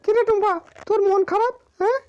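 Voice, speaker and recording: An extremely high, squeaky village wife from a comic cartoon. She is bossy and suspicious, snapping and nagging in repeated commands over clearly audible music. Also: steady tone, fast talker, darkish tone, lo-fi sound. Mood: alarmed, scolding. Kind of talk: comic Bengali cartoon dialogue.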